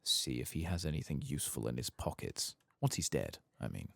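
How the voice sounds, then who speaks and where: A man softly reading out the lines of a guarded, calculating fantasy spymaster in a game. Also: rumbling low, big pitch swings, fairly quick, creaky, no room sound, pitched below the performer's own voice, heavily acted. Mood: grim, businesslike. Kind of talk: soft-spoken reading of game quest text.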